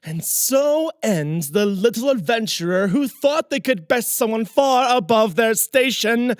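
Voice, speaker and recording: A man's voice: extremely high pitch, expressive, slow pace, no room sound, studio quality, heavily acted. A man playing a pompous, cruel nobleman sorcerer in a fantasy audio drama, gloating and menacing with theatrical flair. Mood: gloating menace.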